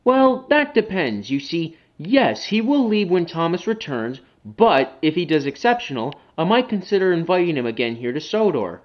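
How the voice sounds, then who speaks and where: A man's voice: a little high, big pitch swings, moderate pace, hardly any pauses, lo-fi sound. A man voicing a courteous, businesslike railway manager in a children's train story, explaining and encouraging. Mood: instructive.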